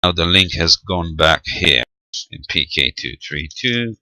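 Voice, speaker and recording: A man's voice: low voice, lively intonation, unhurried, pushed and bright, dry recording. A man giving an unscripted step-by-step technical demonstration, hesitant and thinking aloud as he goes. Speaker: amateur radio tutorial presenter.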